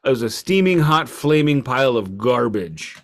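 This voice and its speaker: A man's voice: medium pitch, very animated, moderate pace. A man co-hosting a podcast, chatting casually about music in an opinionated, rambling way with stammered repeats. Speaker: podcast co-host.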